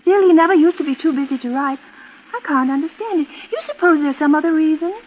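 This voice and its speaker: Casting: actress as girlish, fragile sister near forty, very high timid voice, vintage radio suspense, muffled noisy recording. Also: fast talker, natural voice. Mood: puzzled, hurt. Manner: timid, rambling, apologetic, girlish. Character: fragile, childlike woman nearing forty, timid and wistful. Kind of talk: 1940s radio suspense acting.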